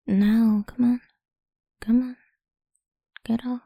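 A woman speaking in a soft, slow, coaxing whisper for a close-mic ASMR roleplay. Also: fairly high pitch, flat delivery, no echo.

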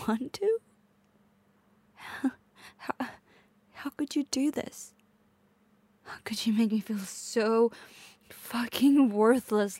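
A woman's voice: a little high, a little mellow, no room sound. A woman playing a possessive, volatile, manipulative girlfriend in an intense audio roleplay, addressing the listener directly. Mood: indignant, clingy, then hurt, pleading. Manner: intense, swearing, swinging between pleading and menace.

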